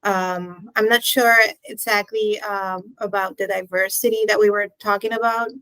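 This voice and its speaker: A woman from Puerto Rico, speaking with a Puerto Rican accent, talks as a guest doctor on a podcast. She speaks in a thoughtful, measured way and often pauses to find her words. Her voice sits at a middle pitch and is recorded dry and close. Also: fairly even intonation, fairly bright tone, no echo, low-quality recording. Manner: measured, thoughtful, with frequent ums and restarts. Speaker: physician guest. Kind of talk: podcast interview answers.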